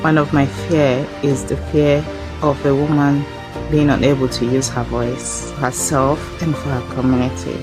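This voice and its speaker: A woman's voice: very deep voice, flat delivery, a little slow. Young woman over music. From Nigeria. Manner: earnest recitation. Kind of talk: short recorded personal statement. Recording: voice over loud background music.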